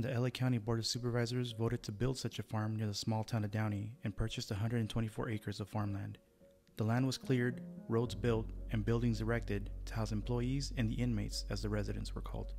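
A man giving scripted documentary narration in a steady, even delivery over background music. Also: mid-range voice, creaky. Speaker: history channel narrator.

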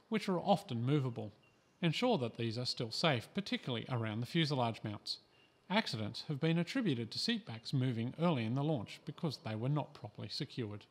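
Calm man narrating a training video. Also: mid-range voice. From Australia. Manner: calm, measured and instructive. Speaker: instructional narrator. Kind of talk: scripted training voice-over.

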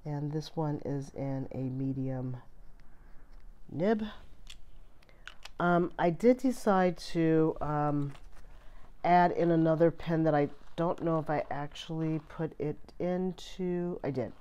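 A woman's voice: sits low, takes it slowly, warm tone, muffled recording. A woman hosting a fountain pen vlog at her desk, chatty and full of ums, her voice still a bit off from a cold. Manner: chatty, rambling with many ums. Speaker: fountain pen channel host. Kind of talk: casual vlog chat. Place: at a desk indoors.

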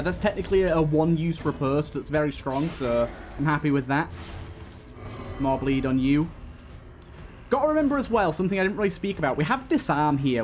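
Higher-voiced man doing game commentary. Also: darkish tone. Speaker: gaming video host. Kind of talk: casual let's-play commentary.

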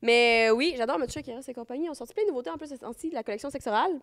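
A woman's voice: high-pitched, very fast. A young woman with a Québécois French accent co-hosting a podcast, joking and swearing casually from a studio couch into a handheld mic. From Quebec. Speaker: podcast co-host. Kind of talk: casual chat.

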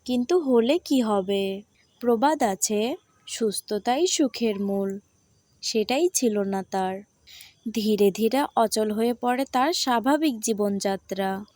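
A woman narrating a scripted storybook tale in a measured, deliberate manner. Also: a little high, a little mellow.

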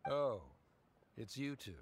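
A man's voice: medium pitch, slow. An actor playing a stiff, middle-aged scholar father in a video game, formal and awkward in manner. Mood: reserved. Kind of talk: video game character acting. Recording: clear noise from game audio.

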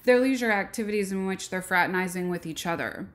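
A woman giving a recorded art history lecture over slides, pausing often with ums. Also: mid-range voice. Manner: slow, with frequent ums and pauses.

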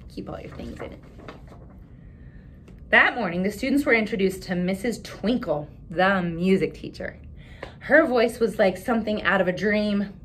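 Woman reading a picture book, noisy room. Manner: animated storytelling, pausing to ask children questions. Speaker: teacher reading aloud to children. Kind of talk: expressive picture-book read-aloud with casual asides. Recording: clear background hiss and low rumble.

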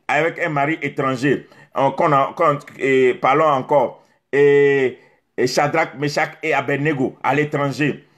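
A man's voice: a little high, husky. A middle-aged man, preaching a sermon straight to the camera, exhorting with rhetorical questions and emphatic repetition. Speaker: pastor. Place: indoors in front of a beach backdrop.

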